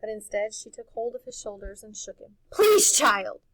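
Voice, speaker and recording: A woman reading a storybook aloud in an expressive narrating style. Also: mid-range voice, brisk, no echo, rough recording. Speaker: audiobook reader.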